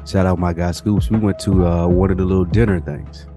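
Deep-voiced blunt man chatting over music. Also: fairly even intonation, a little fast. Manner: direct, blunt, laid-back slang. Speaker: podcast co-host. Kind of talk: casual chat.

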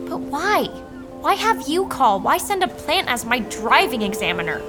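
Indignant teenage girl, high-pitched, questioning, emotional, audio drama, music behind. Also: big pitch swings, moderate pace. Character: indignant, headstrong teenage girl hurt by her mother.

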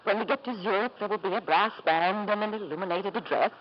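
An actress playing a wounded, restrained wife with wry bitterness in a radio drama. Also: female voice, medium pitch, fast, natural voice. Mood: brittle politeness. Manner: theatrical, emotionally restrained. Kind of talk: 1950s radio drama acting. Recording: muffled, faintly noisy 1950s radio recording.

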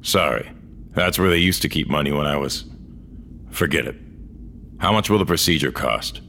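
A world-weary old cyborg man in a science-fiction audio drama. His voice sits very low, and he talks bluntly with gruff, dry wit. A faint bed of noise lies behind him. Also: normal speed, pushed and bright, full character voice. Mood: resigned, wry. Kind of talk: audio drama dialogue and first-person narration.

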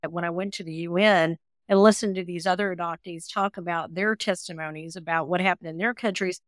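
A woman's voice: lowish voice, steady tone, a little fast, dry recording, clean studio sound. A woman in her sixties telling personal stories as an interview guest, earnest and talkative. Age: 60s. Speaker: interview guest, adoptee advocate. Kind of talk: conversational personal storytelling.

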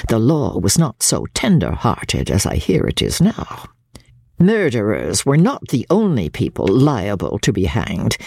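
Actress as proud older Victorian woman, bitter and haughty, husky, swooping intonation, classic audiobook drama. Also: a bass voice, a little mellow, dry recording, clean studio sound, heavily acted.

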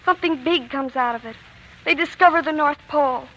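A woman's voice: high-pitched, brisk, fairly bright tone. An actress playing an earnest, wistful young girl devoted to her father in a 1940s radio drama. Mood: troubled, wistful. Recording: faintly noisy 1940s radio broadcast.

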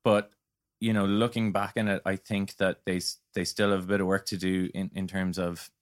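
A man's voice: low voice, little pitch movement, normal speed. A man speaking as a podcast guest in a casual tech discussion, thoughtful and hesitant in his phrasing. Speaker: podcast guest, product design director.

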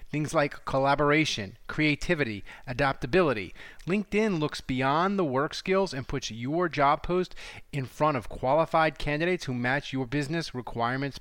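A man's voice: fairly high pitch, a bit bright, no echo. A man hosting a sports podcast, talking casually and reading sponsor spots. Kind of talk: casual sports talk and ad reads.

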